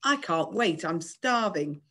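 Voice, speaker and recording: A woman's voice: lowish voice, expressive, slow pace, sharp tone, heavily acted. Woman as a friendly, easygoing chubby boy.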